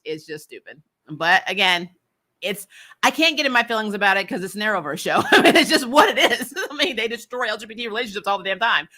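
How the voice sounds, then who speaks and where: A woman hosting a casual, opinionated recap show, talking in a blunt, animated and emphatic way. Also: on the low side. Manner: animated, blunt, freely swearing.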